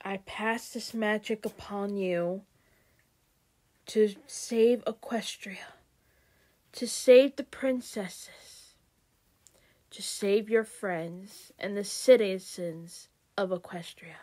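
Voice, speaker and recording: A solemn royal pony princess, voiced by a woman, speaks in a hushed, half-whispered tone. She sounds lower than her usual voice and draws her words out slowly and gravely.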